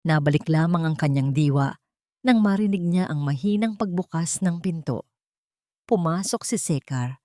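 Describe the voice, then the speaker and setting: Woman audiobook narrator, dramatic story reading, slightly low voice, really dry recording. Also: lively intonation, moderate pace, dark tone, no room sound.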